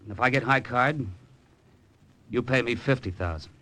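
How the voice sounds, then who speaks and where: An actor playing a tough, cynical gambler with a sentimental streak in a laconic, slangy old radio drama style. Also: male voice, medium pitch, normal speed, fairly bright tone, slight background noise, close to an everyday voice. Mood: cool, challenging. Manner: hard-boiled, slangy, laconic. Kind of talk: 1950s radio drama acting.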